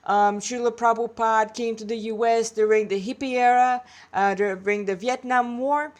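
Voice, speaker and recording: A woman's voice: fairly high pitch, steady tone, normal speed. A woman reading her own amateur fan fiction, breaking into candid, self-deprecating, chatty asides.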